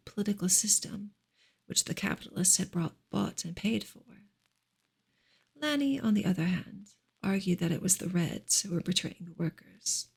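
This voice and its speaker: Very breathy, soft-spoken woman reading aloud. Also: mid-range voice. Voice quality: soft, breathy, often near a whisper. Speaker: streamer reading a novel aloud.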